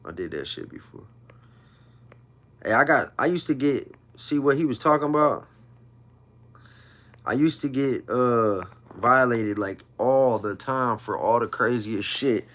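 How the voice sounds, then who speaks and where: A man's voice: mid-range voice, animated, unhurried, pauses often, a bit bright. A man in his thirties hosting a casual livestream, telling personal stories in informal street slang and repeating himself for emphasis. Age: 30s. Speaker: livestream host.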